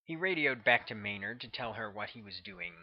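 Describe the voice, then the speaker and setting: A man's voice: mid-range voice, expressive, brisk, gravelly. Man reading a novel, slightly muffled. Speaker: author reading own audiobook. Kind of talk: scripted audiobook narration. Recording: dull, lo-fi recording.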